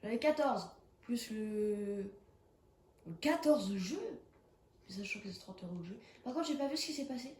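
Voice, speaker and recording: A woman's voice: fairly high pitch, expressive, moderate pace, darkish tone, echoey room. Young woman with a cold, chatty and jumpy. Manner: chatty, jumpy, exclaims when startled. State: has a cold. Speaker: gaming streamer. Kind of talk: casual horror game commentary. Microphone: phone.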